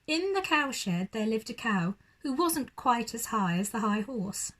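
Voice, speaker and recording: A woman's voice: fairly high pitch, very animated, a little slow, fairly bright tone. A woman reading a children's bedtime story expressively, like a primary school teacher addressing young children.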